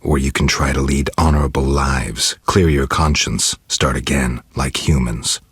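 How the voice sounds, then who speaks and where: Gruff monster hunter dude for a game, super deep n raspy, deadpan, sardonic, few words. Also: male voice, measured pace, no echo, full character voice. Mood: stern, dry. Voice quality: gravelly, husky rasp. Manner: terse, dry, deadpan. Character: stoic, sardonic monster-hunting mercenary warrior, gruff adult man. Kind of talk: video game voice acting.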